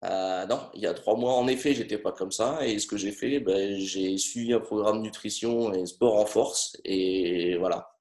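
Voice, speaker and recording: A man in his thirties with a fairly low voice, speaking haltingly with frequent fillers. The recording carries a noticeable roomy echo, and his talk stays casual and personal. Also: a little mellow. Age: 30s. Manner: hesitant, many fillers. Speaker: coaching client. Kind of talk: casual interview testimony.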